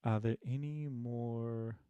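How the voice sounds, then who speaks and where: A man's voice: mid-range voice, steady tone, slow pace, clean tone, no room sound, phone-quality sound. A man teaching programming on a casual live stream, answering questions and thinking aloud with many fillers and restarts, friendly and self-deprecating. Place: home desk, dim room.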